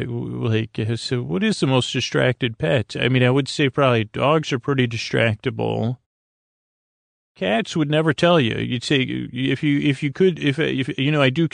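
A man with a creaky, medium-pitched voice hosts a bedtime podcast. He wanders whimsically from thought to thought, hesitating and dropping sentences halfway. The sound is very dry, with no room around it. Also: no echo. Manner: meandering, hesitant, halting, trailing off mid-sentence. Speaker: host of a sleep podcast. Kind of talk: rambling whimsical bedtime monologue.

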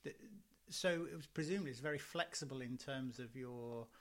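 A man's voice: medium pitch, a little fast, a bit bright. A man interviewing someone in a casual, tentative and appreciative way.

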